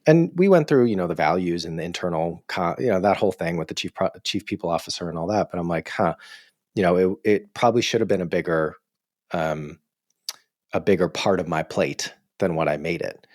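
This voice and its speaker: Male podcast host, very low voice, close dry sound, reflective conversational delivery. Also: brisk, a little mellow, dry recording. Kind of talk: conversational interviewing.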